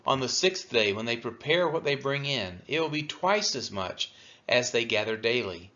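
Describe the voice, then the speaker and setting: A man's voice: medium pitch, animated, moderate pace, pushed and bright. A man reading scripture aloud expressively, as a reader for a church. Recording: dull, lo-fi sound.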